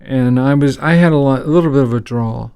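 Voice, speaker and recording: A man's voice: mid-range voice, a little fast, dark tone, clear, resonant tone, no echo. A man from Texas hosting a casual interview podcast, who stops to explain words and phrases in a teacherly way. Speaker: podcast host.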